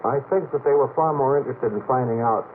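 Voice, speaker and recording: A man speaking as an expert guest on a late-night radio panel, explaining things in a measured, skeptical way. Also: mid-range voice. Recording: noisy narrowband vintage radio tape.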